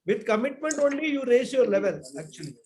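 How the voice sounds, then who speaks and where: High-voiced man teaching, full of fillers. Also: sing-song, quick pace, a little mellow. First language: Tamil. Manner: rambling, many fillers and restarts. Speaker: spiritual teacher. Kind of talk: informal online class talk.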